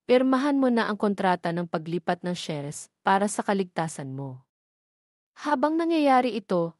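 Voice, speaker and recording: A woman's voice: medium pitch, darkish tone, no room sound, studio quality. Woman narrating a romance story. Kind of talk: scripted narration.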